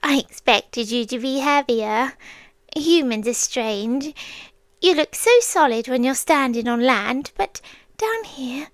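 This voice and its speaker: Woman acting as a possessive female sea demon, British accent, high breathy voice, slow coaxing delivery, tender yet eerie, ASMR roleplay, close dry sound. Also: no room sound, full character voice. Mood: tender, wondering. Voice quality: soft, breathy, often whispering. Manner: slow, coaxing, deliberate pauses.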